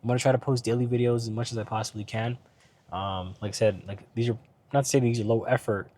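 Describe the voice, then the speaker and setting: A man in a car chatting loosely about business, voice worn from being ill. He rambles with fillers and often tosses in a quick "right?" to the listener. His pitch sits in an ordinary middle range. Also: fairly even intonation, mellow. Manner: rambling, filler-heavy, keeps asking "right?". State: ill, voice starting to go. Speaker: business vlogger. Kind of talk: casual talk while driving.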